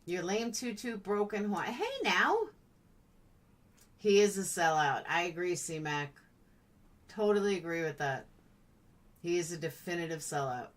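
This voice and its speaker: A woman's voice: fairly low pitch, unhurried, long pauses, far from the mic. A woman live-streaming in a blunt, chatty, playful way, casually answering comments as they come in.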